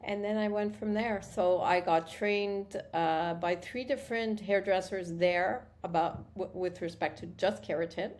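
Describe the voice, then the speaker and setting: A woman's voice: medium pitch, unhurried, hardly any pauses, darkish tone. A woman from Morocco, a guest founder of a hair product company, telling her story in a chatty interview and restarting sentences often. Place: Montreal.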